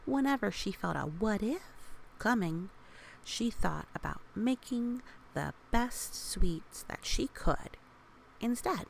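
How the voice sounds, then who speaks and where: A woman reading a children's story aloud in a gentle, encouraging way, with casual asides to young listeners and a creaky voice that often softens toward a whisper. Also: medium pitch, lively intonation, slow, low-quality recording. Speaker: storybook reader. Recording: faint background noise.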